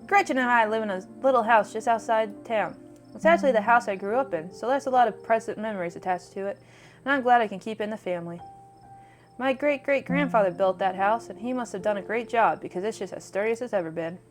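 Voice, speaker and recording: Woman reading as a high-voiced friendly small-town woman. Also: quick pace, faint music behind, close to an everyday voice. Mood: earnest, warm. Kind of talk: reading visual novel dialogue.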